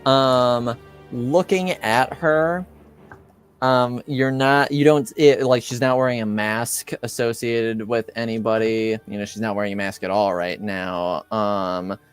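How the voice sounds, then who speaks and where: A man narrating a tabletop roleplaying game as game master, deliberate and halting, with many pauses between phrases. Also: medium pitch, fairly even intonation, clear, resonant tone, faint music behind.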